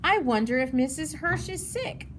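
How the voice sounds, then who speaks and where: Woman reading as thoughtful older sister, schoolgirl, earnest, highish voice, storybook. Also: lively intonation, normal speed, a little hiss or hum. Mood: wondering.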